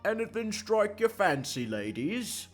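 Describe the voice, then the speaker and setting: A man's voice: sits high, very animated, unhurried, faint music behind, pitched above the performer's own voice, full character voice. A man putting on a coy, flirtatious dandy. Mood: playful, airy.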